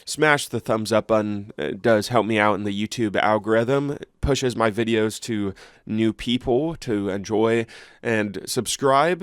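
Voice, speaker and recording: Host type, a man with a stuffy nose and a low, clean, smooth voice, reads the news and then riffs casually, dry close mic. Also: no echo. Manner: reads articles aloud, then chats loosely with fillers. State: congested. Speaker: channel host. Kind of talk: news reading and casual commentary.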